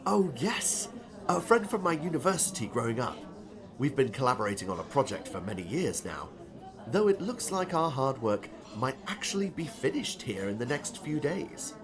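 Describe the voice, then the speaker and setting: A man's voice: medium pitch, big pitch swings, normal speed, music in the background. A man playing a genial, eccentric older novelist with a polite, quaint, chatty manner in an audiobook. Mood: chatty, fond. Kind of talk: audiobook character dialogue.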